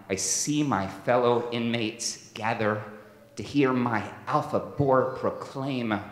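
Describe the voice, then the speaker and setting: In a reverberant hall, a man acts out a proud, aging father rodent speaking to his pup. The delivery is a solemn, deliberate poetry reading with a theatrical tenderness, in a medium-pitched male voice. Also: close to an everyday voice. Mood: resigned, grave. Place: stage in a large hall with audience. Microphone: microphone on a stand. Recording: echoey hall, stage microphone.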